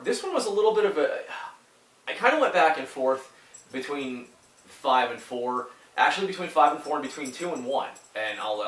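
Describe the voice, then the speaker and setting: A man's voice: mid-range voice, a bit bright, far from the mic. A man with a head cold and a stuffy voice, talking casually and rambling, with lots of fillers and restarts. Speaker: YouTuber reviewing retro games. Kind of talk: casual talk to camera. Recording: echoey room, a little off mic.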